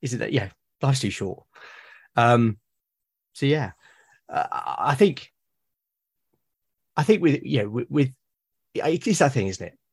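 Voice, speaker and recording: A British man speaking as a podcast guest in casual conversation, haltingly and often repeating words as he thinks aloud. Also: medium pitch, animated, vocal fry, husky. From Britain. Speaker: podcast guest, solo roleplaying gamer.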